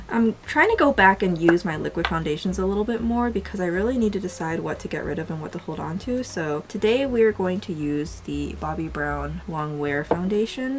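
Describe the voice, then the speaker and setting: Low gravelly girl voice, a bit hoarse, rambly makeup chat with tons of "like"s. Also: a little hiss or hum. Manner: rambling, filler-heavy, chatty. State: slightly hoarse. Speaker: beauty vlogger. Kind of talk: casual makeup tutorial chat.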